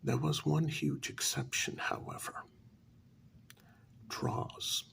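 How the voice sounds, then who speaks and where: A man telling a story in a soft-spoken ASMR style, breathy and deliberate, with many dramatic pauses. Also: mid-range voice, big pitch swings. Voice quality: breathy half-whisper with heavy creak. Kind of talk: soft-spoken ASMR story narration, first-person role-play.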